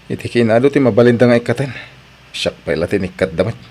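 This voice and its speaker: A man's voice: medium pitch, lively intonation, fast, a little mellow, lightly acted. A man playing a hard-working husband and father in need of a job, in an Ilocano radio drama with an Ilocano Filipino accent. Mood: downcast.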